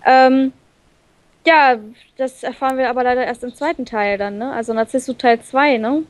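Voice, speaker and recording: A woman's voice: high voice, steady tone, moderate pace, dry recording. A woman chatting casually and reflectively about a game, somewhat hesitant. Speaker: let's play co-reader.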